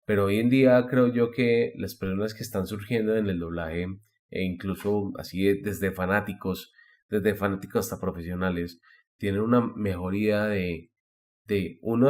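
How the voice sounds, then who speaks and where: A man's voice: sits low, moderate pace, a little mellow. Man chatting casually on a podcast. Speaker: podcast host. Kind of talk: casual podcast chat about anime.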